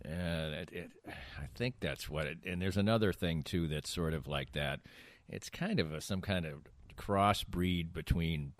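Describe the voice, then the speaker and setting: Low-voiced man hosting a podcast. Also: a little slow, dry recording. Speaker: podcast host. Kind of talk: casual banter and sponsor interview reads.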